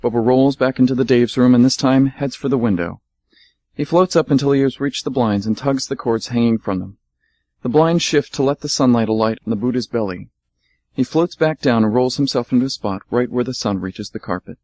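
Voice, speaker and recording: Male narrator, steady and measured, mid pitch, dry close recording. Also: no room sound. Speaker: radio drama narrator. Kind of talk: scripted radio play narration.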